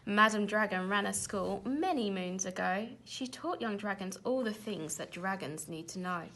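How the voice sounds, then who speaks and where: A woman teacher reading a children's storybook aloud in an animated, expressive way. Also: medium pitch, moderate pace, slight background noise.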